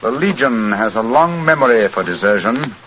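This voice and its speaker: Male actor as British detective, precise, assured, formal, lively intonation, 1940s radio drama, muffled old recording. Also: mid-range voice, moderate pace, a little hiss or hum, natural voice. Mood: calm, explanatory. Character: shrewd, composed English gentleman detective, coolly authoritative.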